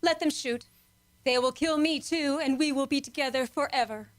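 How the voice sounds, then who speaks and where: A woman's voice: sits high, moderate pace, lightly acted. A woman playing a devoted, melodramatic young damsel in distress in an amateur radio play, pleading breathlessly. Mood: desperate devotion.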